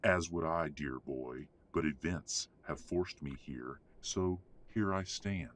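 A man's voice: rumbling low, slow, slight background noise. An actor playing a haughty, middle-aged father who speaks in a pompous, formal, condescending way in an audio roleplay. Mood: cold, formal. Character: haughty, cold, domineering upper-class father. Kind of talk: scripted audio roleplay acting.

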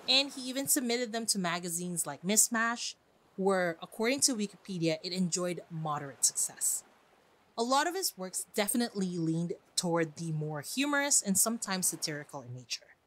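A woman hosting a podcast in a chatty, expressive monologue, speaking directly to her listeners. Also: mid-range voice, poor audio. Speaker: podcast host. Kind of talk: podcast monologue on classic books.

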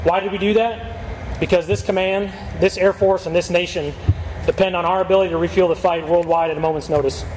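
A military officer, a man with a notably high voice, reads a prepared ceremonial address. He moves along quickly. His words ring through a big hangar over clear background noise. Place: large open hangar facing airfield. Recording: echoing hangar PA with steady ambient noise.

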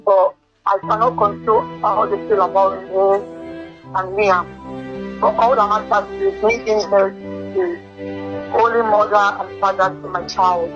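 A young woman in an emotional personal phone conversation, speaking haltingly and often repeating her words. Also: medium pitch, steady tone. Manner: halting, repetitive phrasing. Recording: band-limited leaked phone call with music. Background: music.

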